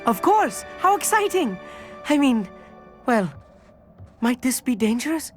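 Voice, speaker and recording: Bookish teenage wizard boy, earnest, slightly pompous, very animated delivery, game dialogue. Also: extremely high pitch, measured pace, full character voice. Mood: eager, curious. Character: eager, bookish, polite teenage wizard schoolboy. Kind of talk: fantasy video game dialogue. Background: faint background music.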